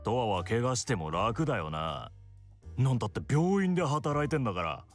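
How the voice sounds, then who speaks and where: A gruff, scornful young man played by a male actor in a visual novel, firing off blunt remarks quickly. His intonation is lively, with faint music behind. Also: mid-range voice, lightly acted. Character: gruff, scoffing young tough guy. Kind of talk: Japanese visual novel voice acting.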